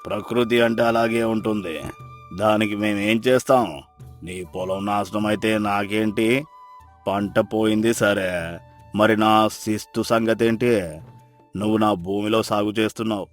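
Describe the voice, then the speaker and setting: A pitiless, greedy landlord from a village moral tale, acted by a man. His low male voice is angry, harsh and domineering, full of threat, over background music. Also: flat delivery, unhurried, natural voice. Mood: scornful anger. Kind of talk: dramatized moral story acting.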